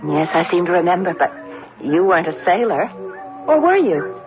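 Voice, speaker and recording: A muffled vintage radio recording with faint music carries a gentle married woman. She is thoughtful and a little timid, speaking in measured, polite, earnest phrases of old radio drama. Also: lowish voice, big pitch swings. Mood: gently philosophical.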